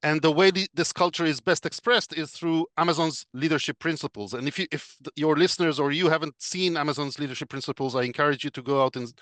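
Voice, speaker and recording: Bright-voiced guest explaining. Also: male voice, on the high side, fairly even intonation, dry recording. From Israel. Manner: measured and explanatory, with frequent fillers. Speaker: podcast guest, business consultant. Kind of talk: casual interview chat.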